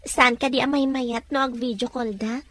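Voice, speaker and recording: A woman acts out a nosy female friend in a drama serial. She has a high-pitched voice and speaks as someone curious for every detail. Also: steady tone, normal speed, fairly bright tone.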